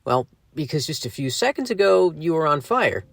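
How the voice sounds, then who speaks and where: A brash young sidekick acted by a man in a wartime audio drama. His high voice bounces around in pitch with a slangy, wisecracking ease. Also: big pitch swings, normal speed, gravelly, fairly bright tone, dry recording, full character voice. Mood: incredulous. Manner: flippant, slangy.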